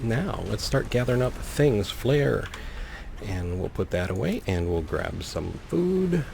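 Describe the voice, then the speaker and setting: A man's voice: lowish voice, very animated, takes it slowly, gravelly, a little mellow, a little hiss or hum. A man hosting a let's play, commentating and reading game text aloud with wry, playful asides. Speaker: gaming video host.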